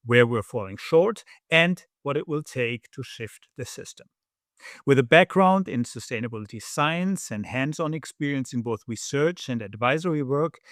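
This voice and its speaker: A man hosting a podcast, reading a prepared introduction and asking questions in a measured way. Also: medium pitch, dry recording, clean studio sound. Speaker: podcast host.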